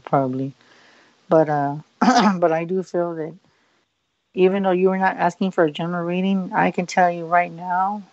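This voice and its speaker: A man's voice: a little high, gravelly, dry recording, muffled recording. A man working as a psychic medium on a live radio show, giving a hesitant reading full of fillers and repeated likes.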